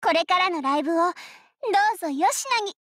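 A woman's voice: very high-pitched, quick pace, fairly bright tone, no echo, clean studio sound. A woman voicing an elegant young lady idol in an anime game, proud and playful, with refined, teasing, theatrical speech and an easily flustered streak. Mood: poised, eager.

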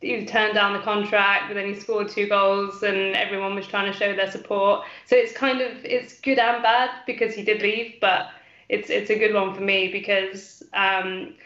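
A woman in her twenties from the English Midlands, talking casually as a guest on a video call from a small room at home, relaxed with many pauses and ums. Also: medium pitch. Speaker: guest, fan channel creator. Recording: echoey room, dull lo-fi call audio.

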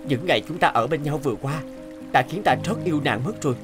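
A man voicing a brave, gentle young hunter in an animated fairy tale, earnest and protective. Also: on the high side, fast, lightly acted. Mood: sincere, loving. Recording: music behind the voice.

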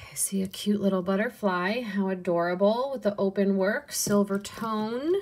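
Woman, young adult, unhurried, slow relaxed talk, soft muttered asides, casual haul video. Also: mid-range voice. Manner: unhurried, softly muttering asides, cooing over pieces.

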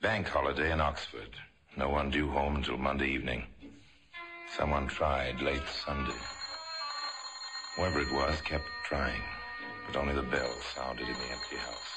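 A man playing a brooding crime storyteller, narrating an old radio drama in a measured, suspenseful manner. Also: rumbling low, little pitch movement, loud music behind the voice. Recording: very noisy old radio recording.

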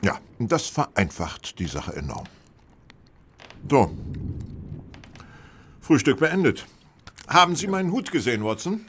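An actor playing a confident, wry detective in a radio play, with a brisk, assured, theatrical delivery. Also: male voice, medium pitch, huge pitch swings, fairly bright tone, a little hiss or hum. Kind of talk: radio play acting in German audio drama.

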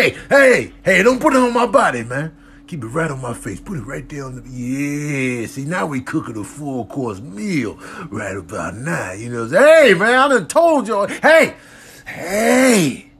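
Man voicing a fussy, bossy cat, wildly animated. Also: medium pitch, a little hiss or hum. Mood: scolding. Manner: bossy, repetitive, scolding. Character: fussy, bossy male cat being groomed. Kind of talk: comedic animal voice-over.

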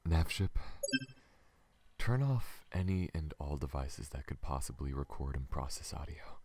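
A man voicing a tender, teasing alien in an intimate ASMR roleplay, breathy and husky, often dropping to a whisper, with hesitant pauses. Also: very deep voice, lively intonation, heavy background noise, exaggerated voice. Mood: soothing, intimate. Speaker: voice actor in a roleplay.

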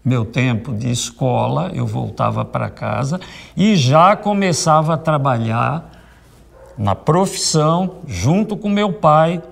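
A man in his seventies, a tailor, speaking slowly and earnestly. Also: mid-range voice, animated, gravelly. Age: 70s. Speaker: interviewee, tailor.

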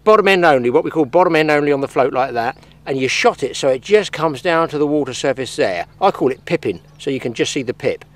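A man's voice: on the high side, animated, quick pace, hardly any pauses. A man in his sixties giving a plain-spoken, practical angling demonstration outdoors on a lake bank, talking while he works with his hands. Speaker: fishing instructor.

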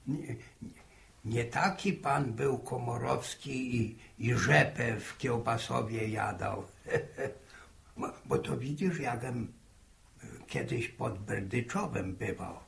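A man's voice: medium pitch, creaky. An actor playing a rambling, good-humoured old village grandfather who halts and repeats himself in a 1960s radio drama. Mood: wistful, coaxing. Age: older. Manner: halting, repeating words, chuckling storyteller. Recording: 1960 archive radio drama recording.

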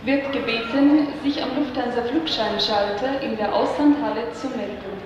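A formal female airport announcer, high-voiced over a loudspeaker. The sound is heavily echoing and dull, with clear background noise. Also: normal speed. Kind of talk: public address announcement. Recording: echoing loudspeaker, muffled, distant, noisy hall.

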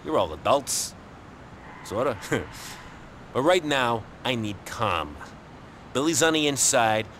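An actor playing a sneering, world-weary middle-aged New York mobster in a video game cutscene, wisecracking and condescending. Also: male voice, big pitch swings, slow pace, long pauses, a bit bright. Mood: irritated, bossy. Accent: New York area. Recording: noisy outdoor city street rumble.

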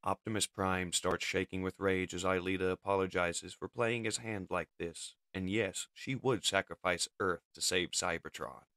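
A man's voice: low voice, steady tone, moderate pace, few pauses, bright, forceful tone, no echo. A man narrating a comic book as a dramatic scripted reading. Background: music.

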